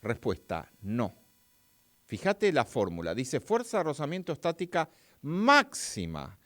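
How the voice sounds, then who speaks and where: A man's voice: medium pitch, huge pitch swings, a little slow, fairly bright tone, no room sound. A man with an Argentine accent giving a chatty, live-streamed physics lesson, talking straight to his viewers. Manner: chatty, talks straight to viewers and reads their chat. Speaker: physics teacher.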